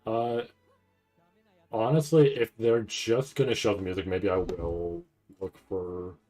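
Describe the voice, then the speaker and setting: A man's voice: fairly low pitch, flat delivery, slow pace, mellow, faint music behind. A man hosting a casual livestream, rambling and thinking aloud in loose, sometimes profane language. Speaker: livestream host reacting to anime event. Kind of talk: casual live stream chat.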